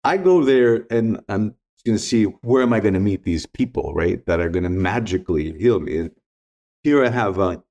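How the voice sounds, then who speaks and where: A man's voice: lowish voice, lively intonation, normal speed, a little mellow, muffled recording. A middle-aged man whose first language is Greek, a podcast guest telling stories and reflecting in an earnest, emphatic way. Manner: earnest, emphatic, repeats key points. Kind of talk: reflective conversational storytelling.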